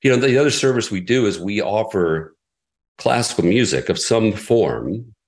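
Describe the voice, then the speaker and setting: A man in an interview, a radio executive explaining his station's approach in a measured way with frequent fillers. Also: fairly low pitch, lively intonation. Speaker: classical radio station president. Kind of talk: conversational interview answers.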